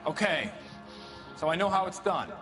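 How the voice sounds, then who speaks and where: An actor playing a defiant, evasive activist worker under police questioning, answering in a curt, scornful, defensive way. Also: male voice, high-pitched, lively intonation, normal speed. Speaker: video game suspect. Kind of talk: dramatic interrogation acting. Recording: music under the dialogue.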